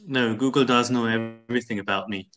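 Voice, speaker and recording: A man's voice: mid-range voice, fairly even intonation, brisk. A man lawyer whose first language is German, speaking English with a slight German accent and a modest manner. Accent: German-tinged English. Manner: modest, laughs lightly. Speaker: lawyer, panelist. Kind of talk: casual self-introduction. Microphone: video call.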